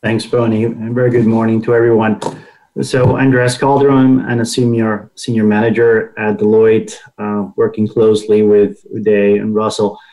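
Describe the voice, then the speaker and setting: Halting Costa Rican man, full of fillers. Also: on the low side, flat delivery, dark tone, low-quality recording. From Costa Rica. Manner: halting, many fillers and repeated words. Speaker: consulting senior manager, podcast guest. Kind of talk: unscripted guest remarks.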